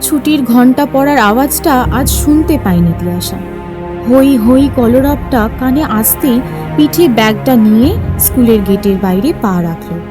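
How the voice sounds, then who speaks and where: A woman with a high voice narrates a scripted story. Music plays clearly underneath her reading. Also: unhurried.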